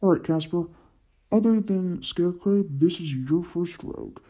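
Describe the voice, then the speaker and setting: A woman voicing a gruff, wry male vigilante and older brother in an audio story, with muffled sound. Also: fairly low pitch, normal speed, pitched below the performer's own voice, close to an everyday voice. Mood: firm, instructing.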